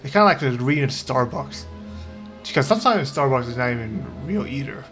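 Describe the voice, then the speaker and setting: A man giving casual, halting reaction commentary as a game streamer, mumbling his asides. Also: medium pitch, lively intonation, fairly bright tone. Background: game music.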